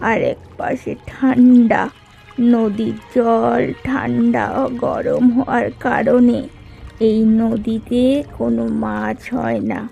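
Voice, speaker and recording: A bitter old cartoon villager played by a woman, creaky and very slow. She is defiant and vengeful, heavy with grief, with music playing behind her. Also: fairly high pitch, little pitch movement, mellow, full character voice. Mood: grim, brooding. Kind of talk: cartoon voice acting.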